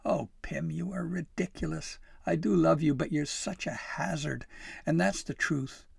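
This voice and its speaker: A man's voice: medium pitch, moderate pace, gravelly, darkish tone, slightly rough, dry recording, studio quality. A man voicing a feisty young female elf in a storybook, stern, indignant and exasperated but fond underneath. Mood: exasperated fondness. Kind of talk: acted storybook dialogue.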